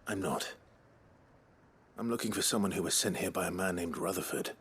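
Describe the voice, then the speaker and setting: A man's voice: low-pitched, fairly quick, fairly bright tone, slightly rough, exaggerated voice. An actor playing a grim, resolute noble swordsman in a video game, restrained and terse. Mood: guarded, steady. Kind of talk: video game cutscene acting.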